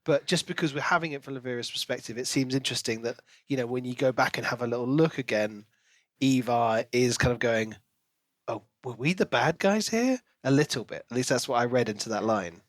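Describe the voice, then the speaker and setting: A man's voice: medium pitch, fairly quick, dry recording. A man co-hosting a podcast in casual book discussion, acting out reactions as he retells. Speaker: podcast co-host.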